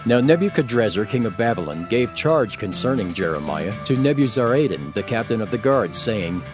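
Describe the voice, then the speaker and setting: A man's voice: lowish voice, very animated, moderate pace, music in the background. A man narrating scripture from a script as an audio Bible reader, formal and solemn.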